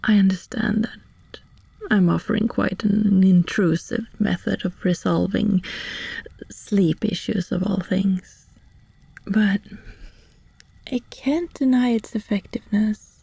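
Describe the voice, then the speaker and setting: ASMR roleplay sorceress, female performer: friendly, reassuring, unhurried delivery, breathy whisper with creaky fry at the edges. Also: medium pitch, steady tone, mellow, dry recording, exaggerated voice. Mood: calm, explanatory. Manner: slow, soothing, deliberate with long pauses. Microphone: close, dry microphone.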